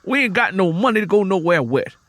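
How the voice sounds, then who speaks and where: A man's voice: sits high, big pitch swings, a little fast, fairly bright tone, no room sound, pitched above the performer's own voice. A man acting a desperate sharecropper father, raised voice. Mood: angry, frustrated. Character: proud, desperate, ashamed poor sharecropper father. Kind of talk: dramatic audiobook character voice.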